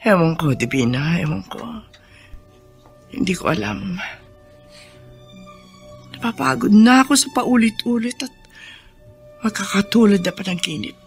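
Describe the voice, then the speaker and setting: A woman's voice: medium pitch, lively intonation, a little slow, gravelly, long pauses, soft background music. A woman playing a troubled, weary older plantation woman in a Filipino radio drama.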